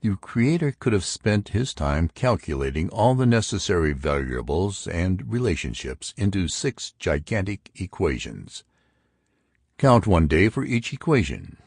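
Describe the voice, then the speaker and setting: A man's voice: deep voice, very animated, measured pace, a little mellow, breathy edge, dry recording, studio quality, exaggerated voice. A man voicing an argumentative intellectual who expounds theories to friends in an audiobook. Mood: earnest, arguing. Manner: emphatic, pedantic. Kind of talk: audiobook character dialogue.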